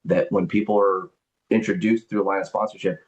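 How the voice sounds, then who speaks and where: A man with a fairly low voice hosts a members' call, talking through the business in a loose, rambling way. He leans on fillers and doubles back on his words. The line sounds dull and lo-fi, like call audio. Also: level tone, fairly quick. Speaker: company leader hosting a members' call. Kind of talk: business presentation and Q&A on a group call. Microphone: conference call.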